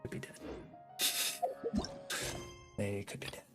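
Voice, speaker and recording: A woman's voice: takes it slowly, music in the background. A woman giving casual live gameplay commentary as a streamer, rambling and muttering to herself. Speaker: game streamer.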